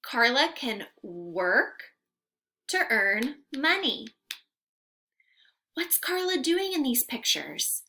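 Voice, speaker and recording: A young woman gives an interactive read-aloud lesson for young children. Her voice is high and swings widely in pitch, and she takes her time over each phrase. She speaks in a carefully enunciated, sing-song teacher's manner. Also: very animated, very slow, long pauses, bright, forceful tone. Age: young adult. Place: room at home.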